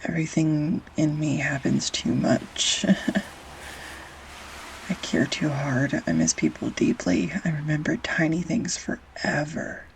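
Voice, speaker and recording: A woman playing a grumpy, sarcastic young art student who shyly confesses love in an intimate romantic audio roleplay, halting and wry. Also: low-pitched, expressive, vocal fry, a little hiss or hum, exaggerated voice, rough recording. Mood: soft, vulnerable. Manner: halting, wry, flustered, sighs and soft laughs. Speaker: voice actor.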